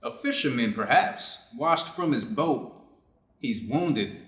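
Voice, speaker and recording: A man reading the part of a middle-aged Japanese surgeon in a staged story, reflective and clinical, with formal, deliberate phrasing. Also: medium pitch, animated, fairly bright tone. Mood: clinical concern. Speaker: reader's theater performer. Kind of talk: staged reading of a short story, measured delivery. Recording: echoey room.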